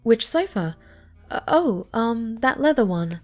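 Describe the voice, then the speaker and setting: A woman playing a snobby, pouty young cat girl with a soft side in an ASMR roleplay. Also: a little high, very animated, measured pace, soft background music. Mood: grudging, snooty. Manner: haughty, pouty, dismissive.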